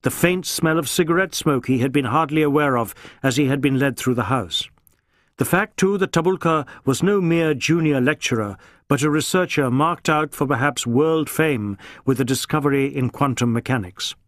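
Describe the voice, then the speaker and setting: A man's voice: mid-range voice, moderate pace, fairly bright tone, no room sound, studio quality. A man reading a novel aloud as scripted, dramatic audiobook narration.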